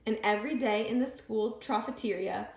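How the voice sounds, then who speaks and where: A woman reading a picture book aloud with expressive, lively storytelling. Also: on the high side, normal speed, creaky. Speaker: storybook reader. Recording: echoey room.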